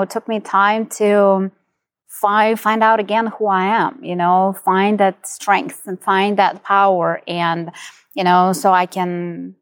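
A woman's voice: mid-range voice, dry recording. A middle-aged woman with a Ukrainian accent, telling her personal story as an interview guest. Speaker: podcast guest, entrepreneur.

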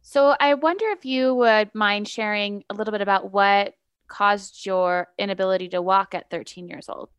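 Smooth-voiced woman podcast host. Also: medium pitch, steady tone, normal speed, no room sound, lo-fi sound. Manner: enthusiastic, encouraging questions. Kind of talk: interviewing a guest.

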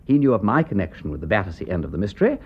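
An actor playing an aristocratic English gentleman detective in a radio drama, with an upper-class English accent and a witty, breezy, urbane manner. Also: fairly low pitch, very animated, rapid-fire, slightly rough, muffled recording. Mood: animated explaining. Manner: aristocratic, breezy, clipped period phrasing.

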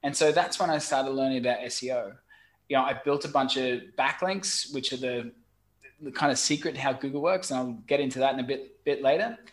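A middle-aged Australian man, a guest on a podcast call, talking frankly and with lively storytelling, salting it with mild swearing. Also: medium pitch, a little fast. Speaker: guest, website marketing expert. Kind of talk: casual podcast chat. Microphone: remote call.

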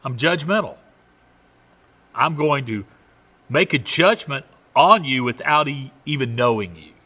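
A middle-aged man with a Southern US accent, preaching a conversational sermon with loud emphatic peaks and quiet asides. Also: mid-range voice, animated, unhurried, husky. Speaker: pastor.

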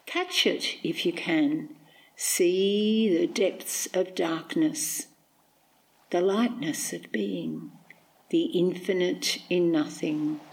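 A middle-aged Australian woman, a poet, reading and reflecting on her work in measured, deliberate phrases. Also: lowish voice, creaky, darkish tone, low-quality recording.

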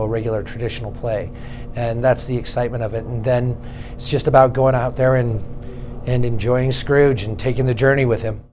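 A man's voice: mid-range voice, a little mellow, slight background noise. A man, a stage actor, answering an interview casually and searching for his words.

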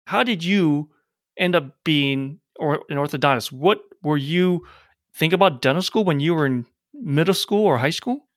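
A man with a slightly raised pitch interviews an orthodontist. He asks long, searching questions in a dry, close recording. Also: lively intonation, normal speed, no echo.

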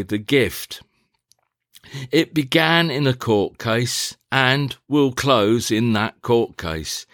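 A man narrating a scripted, reflective personal diary in a measured, deliberate, formal manner. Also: mid-range voice, no echo, clean studio sound. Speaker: diarist, playwright.

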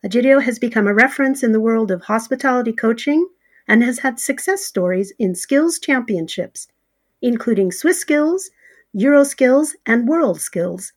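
A woman reading a scripted sponsor message in an even, steady manner. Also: a little high. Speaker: sponsor announcer.

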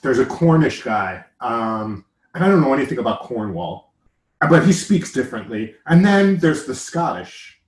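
An American man talks in a loose, joking way, wandering off track and correcting himself as he goes. His voice sits at an ordinary middle pitch. The room around him gives it a noticeable echo. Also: sing-song, normal speed. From United States. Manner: joking, rambling, self-interrupting. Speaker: podcast host. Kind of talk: casual chat.

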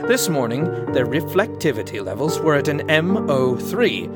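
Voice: mid-range voice, big pitch swings, moderate pace, close to an everyday voice. An actor playing a smug, manipulative doctor in an audio drama, with condescending, mock-polite, theatrical phrasing. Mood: clinical, stern. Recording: loud music under the voice. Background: music.

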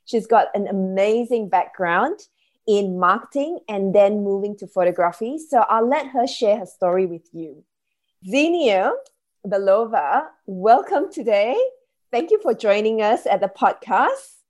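A woman's voice: medium pitch, lively intonation, measured pace, darkish tone. A woman hosting a business podcast, speaking in an enthusiastic, effusive manner as she presents and chats. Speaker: podcast host, business coach. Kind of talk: podcast hosting, introducing a guest.

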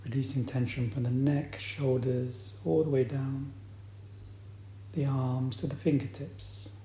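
A man guides a meditation in a soft-edged, relaxed voice of middling pitch. He speaks very slowly and deliberately, leaving long, calm pauses between phrases. The room adds a noticeable echo to his voice. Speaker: meditation teacher. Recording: roomy echo, faint background noise.

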